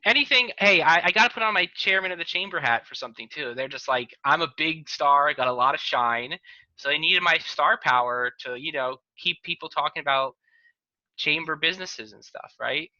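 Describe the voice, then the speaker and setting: A man with a piercingly bright, fairly high voice rambles and jokes as a podcast host. He trails off mid-sentence often. The sound is dry and a little muffled, like video-call audio. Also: brisk, no room sound. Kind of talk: casual chat on a video call.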